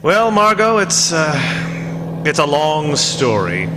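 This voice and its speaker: An actor playing an urbane, knowing young gentleman sleuth in a 1940s-style radio drama. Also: fairly high pitch, big pitch swings, slow pace. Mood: explanatory. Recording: noisy, reverberant outdoor sound scene.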